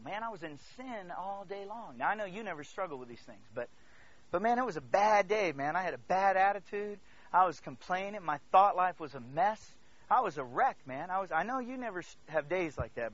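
A male preacher from the Congo with a high, forceful voice that moves widely in pitch. He delivers an emphatic sermon, repeating phrases and checking that listeners follow. The sound is close and dry. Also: lively intonation, a little fast, muffled recording. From Congo, Central Africa. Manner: emphatic, swings between hushed asides and loud declarations. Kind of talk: animated sermon to a congregation.

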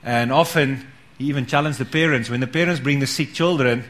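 A man's voice: medium pitch, brisk, fairly bright tone. A man leading a church gathering, inviting testimonies and encouraging people with repeated words of praise. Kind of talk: casual church meeting talk.

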